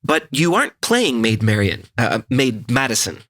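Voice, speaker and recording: A man's voice: mid-range voice, sing-song, moderate pace, fairly bright tone. A man playing a patient, awkward radio announcer in a comic audio drama, by turns hesitant and theatrical. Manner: hesitant in dialogue, grandly theatrical when narrating. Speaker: narrator.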